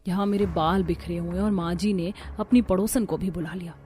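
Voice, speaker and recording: A woman's voice: fairly low pitch, steady tone, a little fast, a little mellow, close to an everyday voice. A woman voicing a put-upon, complaining young daughter-in-law in a cartoon story. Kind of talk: Hindi cartoon story voice acting.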